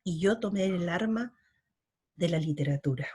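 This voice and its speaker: A middle-aged woman from Chile, a poet being interviewed, speaking slowly and earnestly and often repeating her words. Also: lowish voice, a little mellow, breathy edge. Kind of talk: reflective interview talk.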